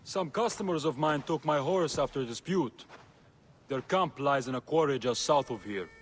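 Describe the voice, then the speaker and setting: An actor playing a wry, cagey merchant and informant in a video game, with a sardonic, bargaining manner. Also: male voice, mid-range voice, animated, moderate pace, husky. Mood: businesslike. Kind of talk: video game cutscene acting.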